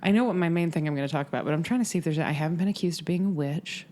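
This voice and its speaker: A woman's voice: low-pitched, very fast, a little mellow. A woman co-hosting a podcast in casual chat, wry and rambling with many fillers. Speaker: podcast co-host.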